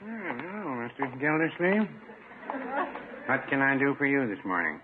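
An actor playing a dry, mild older druggist with a deadpan, understated manner in a 1950s radio comedy. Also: male voice, mid-range voice, unhurried. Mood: polite, dry. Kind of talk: 1950s radio sitcom acting. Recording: muffled, very noisy old radio recording.

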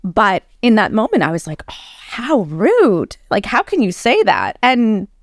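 A middle-aged woman chatting casually, telling animated stories and acting out the voices of people she quotes. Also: mid-range voice, measured pace, no echo, clean studio sound. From Canada. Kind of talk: casual conversation.

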